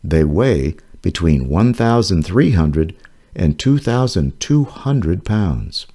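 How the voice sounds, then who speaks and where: Slow, low-voiced man reading aloud. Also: very animated, mellow. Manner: slow, careful, clearly paced reading. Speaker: author reading his own children's book. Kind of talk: read-aloud narration for children.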